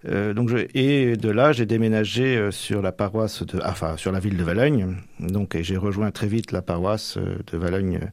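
Hesitant man with a lowish, dry-recorded voice. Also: fairly even intonation, darkish tone, no room sound. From Manche, Normandy, France. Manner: hesitant, halting, frequent tags at phrase ends. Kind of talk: reflective interview answers.

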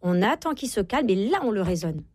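Fast, husky-voiced woman in her forties. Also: medium pitch, sing-song, a little mellow, no room sound. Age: 40s. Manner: emphatic, repeats key phrases for insistence. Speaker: guest parenting expert and author. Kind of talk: interview talk, explaining and advising.

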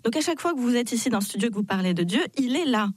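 A woman guest in a radio studio interview, telling a personal story in an animated way and acting out the dialogue she quotes. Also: on the high side, rapid-fire, husky, no room sound. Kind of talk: personal testimony in conversation.

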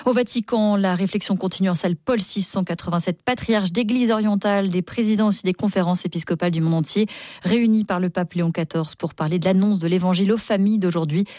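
A woman presenting scripted radio news in a brisk, formal read. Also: lowish voice, warm tone, no room sound, clean studio sound.

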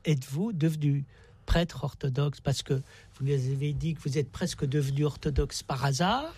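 A man with a husky, creaky voice, speaking as a radio host in a reflective, deliberate and emphatic way. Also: fairly high pitch, darkish tone, dry recording. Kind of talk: reflective spoken introduction and interview.

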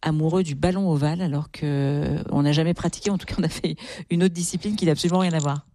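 A woman's voice: low voice, steady tone, fast, mellow. A woman presenting a broadcast interview, putting questions to her guest in a conversational, composed way.

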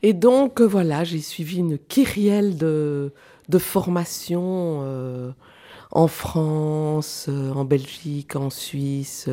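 Swiss-born woman guest, low voice, mellow tone, thinks aloud with pauses and fillers, radio studio. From Switzerland. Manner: thoughtful, halting, many fillers. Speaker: guest, clown and actress. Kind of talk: radio interview.

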